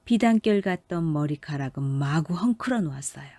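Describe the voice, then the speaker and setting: A woman reading a children's fairy tale as a storyteller, with careful, expressive narration. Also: deep voice, brisk, no room sound.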